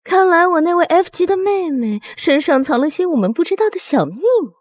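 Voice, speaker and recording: A villainous eldest princess, high-voiced and very expressive. She is haughty, scornful and calculating, recorded dry and close for an animated drama. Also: brisk, dry recording. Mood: cold scheming. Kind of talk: animated short-drama dubbing.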